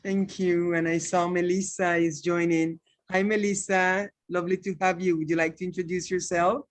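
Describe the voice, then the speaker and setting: A woman's voice: on the low side, flat delivery, normal speed. A woman hosting an online meeting, politely inviting people to introduce themselves over a video call. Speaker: meeting host.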